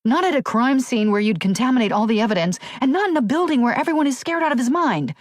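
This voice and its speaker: A woman's voice: a little high, expressive, quick pace, dry recording, studio quality, voice pushed up, close to an everyday voice. A woman voicing a tough, protective female police officer who gives commanding orders in an audiobook thriller. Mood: angry, urgent. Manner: urgent, forceful commands. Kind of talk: audiobook character dialogue.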